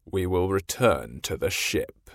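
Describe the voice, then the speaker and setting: A man voicing a scheming, menacing pirate captain in a storybook reading, speaking low and slow. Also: lively intonation, no echo, studio quality, full character voice. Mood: brooding. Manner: slow, low, brooding delivery.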